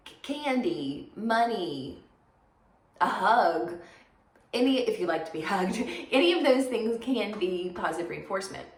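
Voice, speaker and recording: A woman teaching a psychology lecture in a chatty, everyday way, slightly hoarse and raspy from congestion. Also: mid-range voice. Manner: conversational, many fillers, everyday examples. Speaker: psychology instructor. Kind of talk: recorded lecture. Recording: echoey room, faint noise.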